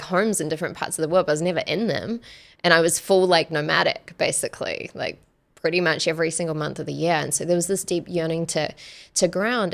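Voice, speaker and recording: A woman in her thirties telling personal stories casually as a podcast guest, often saying "like" and quoting conversations, close on the mic. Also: sits low. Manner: conversational, frequent "like" and quoted dialogue. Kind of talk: casual personal storytelling in an interview. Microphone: close podcast microphone on arm.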